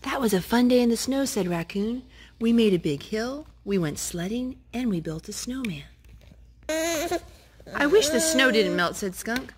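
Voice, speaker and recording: A woman's voice: on the high side, a little slow, a little hiss or hum. Woman reading a picture book aloud. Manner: gentle, animated read-aloud voice, talking to the children between pages. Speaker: storytime reader.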